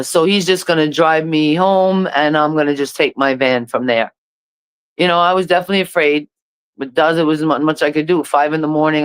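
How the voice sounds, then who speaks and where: Middle-aged woman artist with a smooth, resonant voice, halting and intense on a dry close mic. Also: low-pitched, no room sound. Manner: halting, repeats herself, intense. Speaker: reggae artist, interview guest. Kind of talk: emotional personal testimony.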